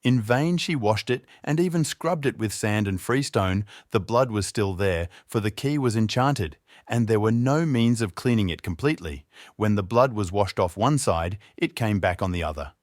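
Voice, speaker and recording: A man's voice: lowish voice, expressive, moderate pace, few pauses, no echo, studio quality. A man reading a scripted fairy tale as a storyteller.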